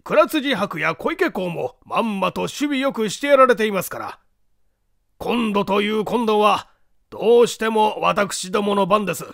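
A man's voice: high-pitched, expressive, moderate pace, creaky, a bit bright, dry recording, clean studio sound, pitched above the performer's own voice, exaggerated voice. A man voicing a courteous, anxious lord of a castle in a mystery audiobook, speaking formally and theatrically. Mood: grave, explanatory. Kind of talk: dramatized Japanese mystery audiobook reading.

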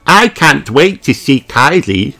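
A man voicing an excited, sweet and curious little girl pig in a children's storybook, with a bright, childlike, eager manner. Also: mid-range voice, slow pace, gravelly, voice pushed up, exaggerated voice.

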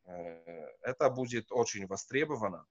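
Non-native man, 30s, professor guest; low-pitched, dull call audio, hesitant delivery. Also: animated, moderate pace. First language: not Russian. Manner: hesitant, many fillers and restarts. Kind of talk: video call interview. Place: wood-panelled room indoors. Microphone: video call.

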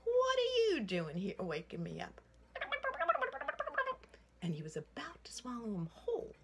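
A woman in her sixties telling a children's story in an expressive, playful, sing-song way. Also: lowish voice, gravelly. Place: indoors, room with wooden ceiling. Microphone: phone at arm's length. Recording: noisy home recording with room ambience.

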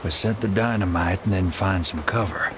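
An actor playing a cool, cynical doctor turned hired gun in a western game, dry and measured. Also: male voice, low voice, background noise, close to an everyday voice. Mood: cool, assured. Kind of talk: dramatic video game acting. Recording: music behind the voice.

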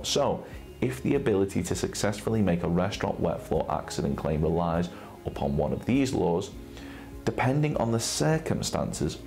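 A man in his thirties with a British accent, giving a scripted, measured and carefully articulated explainer to camera as a presenter for a claims firm. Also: low-pitched, creaky, darkish tone. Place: indoor studio set with brick wall and armchair. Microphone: lapel mic.

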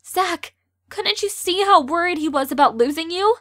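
A woman's voice: extremely high pitch, expressive, normal speed, pushed and bright, heavily acted. A young woman playing an outspoken, sarcastic teenage girl in an amateur audio drama. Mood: reproachful.

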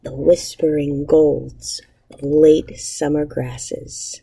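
A woman in her thirties, an elementary teacher reading a picture book aloud to children with measured, expressive storybook phrasing. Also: deep voice, vocal fry, husky, poor audio. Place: indoors, empty school classroom.

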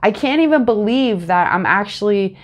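A woman's voice: medium pitch, normal speed, highs cut off. Congested woman chatting through a tarot reading. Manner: chatty and animated, talks with her hands. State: congested, a little sniffly. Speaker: tarot reader. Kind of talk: casual tarot reading to camera. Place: small home room, indoors. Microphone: lapel mic.

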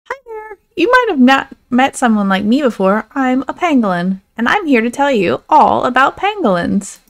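A woman's voice: high voice, big pitch swings, normal speed. A woman voicing an earnest, exasperated pangolin who insistently corrects others in a read-aloud storybook. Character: exasperated, earnest pangolin trying to explain himself.

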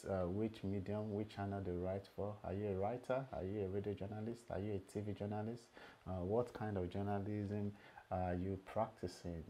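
A man's voice: on the low side, warm tone. A middle-aged man moderating a webinar from a room at home over a webcam, asking strings of questions with many hesitant fillers. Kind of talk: webinar hosting. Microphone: webcam video call.

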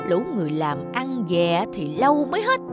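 A woman voicing a greedy, stingy old male landlord in a cartoon, gruff and bossy. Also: mid-range voice, huge pitch swings, normal speed. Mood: scolding. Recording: studio voice with loud music.

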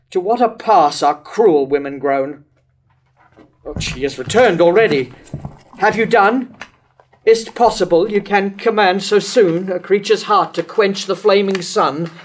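Older man playing a tormented, high-voiced lovesick king. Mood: agitated. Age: older, about 60s to 70s. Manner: declamatory, rhetorical questioning. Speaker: actor reading Herod in a staged play reading. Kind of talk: staged reading of Jacobean verse tragedy. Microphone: stand microphone at a lectern.

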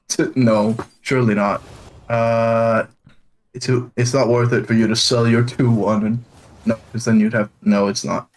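A man's voice: medium pitch, fairly even intonation, unhurried, low-quality recording. A man from Denmark playing a card game over voice chat, sarcastic and self-mocking. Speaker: streamer, duo partner. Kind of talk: casual gaming chat.

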